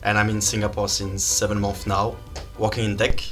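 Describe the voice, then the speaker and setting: A man's voice: on the low side, completely flat delivery, moderate pace, fairly bright tone, faint music behind. A man from the south of France with a French accent, a guest giving brief, hesitant replies in English. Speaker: guest, works in tech.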